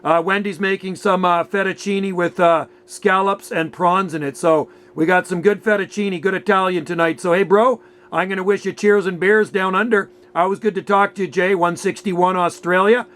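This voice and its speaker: A man with a fairly high voice, talking as a radio hobbyist. His style is casual and chummy, full of slang. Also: fairly even intonation, normal speed, fairly bright tone. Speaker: amateur radio operator. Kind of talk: casual radio contact chat.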